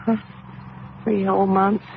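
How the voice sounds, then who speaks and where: A woman's voice: mid-range voice, little pitch movement, measured pace, gravelly. A devoted, anxious wife and mother in a radio drama. She speaks earnestly and affectionately, with hesitant, repeated starts. The old recording is narrow and thin, with faint noise. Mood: fond, wistful. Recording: band-limited noisy old radio recording.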